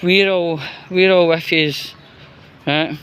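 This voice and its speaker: A Scottish man with a slightly high voice talks slowly in an off-the-cuff vlog. His delivery is hesitant and rambling, searching for words as he goes. A faint noise of vehicles sits behind him. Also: steady tone, a bit bright, low-quality recording. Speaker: vlogger. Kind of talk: unscripted solo vlog commentary.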